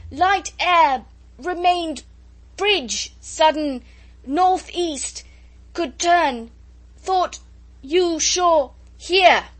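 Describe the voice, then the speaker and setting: An agitated ship's officer in a sea story, acted out by a woman reader, shouting over a gale. The delivery is clipped and loud, pushed well above normal speaking pitch. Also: extremely slow, long pauses, exaggerated voice. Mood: urgent yelling.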